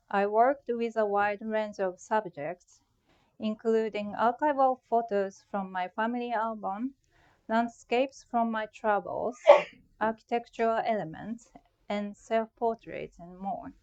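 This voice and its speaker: Measured Japanese-accented woman artist. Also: a little high, darkish tone, clean tone, highs cut off. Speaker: artist giving a talk. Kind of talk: prepared artist talk presentation.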